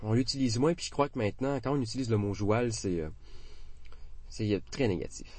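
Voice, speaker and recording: A man in his forties speaks with a Québécois French accent in a fairly low voice. He talks casually and haltingly, stacking fillers and false starts as he thinks aloud. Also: a little mellow, dry recording. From Quebec. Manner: hesitant, many fillers and restarts. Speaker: interviewee. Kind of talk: casual conversation.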